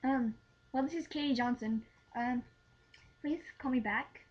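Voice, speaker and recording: A young teenage girl speaks casually, as if on a prank call. Her voice is high and girlish with a husky edge. The sound is dull, picked up by a webcam in a room at home. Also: measured pace. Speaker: prank caller. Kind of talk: casual phone prank chat. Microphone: webcam or laptop microphone.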